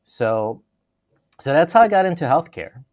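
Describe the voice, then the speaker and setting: A man's voice: on the high side, big pitch swings, unhurried, a little mellow, dry recording, clean studio sound. A middle-aged man from Austria, a company CEO talking through his career in a podcast interview, thoughtful, often restarting his phrases. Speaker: CEO guest. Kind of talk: conversational interview.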